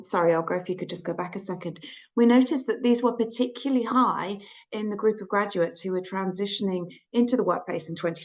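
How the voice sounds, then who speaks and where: A woman speaking as a clinical psychologist in a webinar, her voice croaky today, explaining findings in a measured way with frequent ums. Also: medium pitch. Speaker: clinical psychologist presenting research. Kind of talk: webinar presentation.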